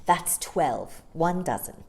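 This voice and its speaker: A woman voicing a brisk, no-nonsense nanny in a storybook, speaking in a crisp, matter-of-fact and slightly disdainful manner. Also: low voice, lively intonation, a little mellow, exaggerated voice.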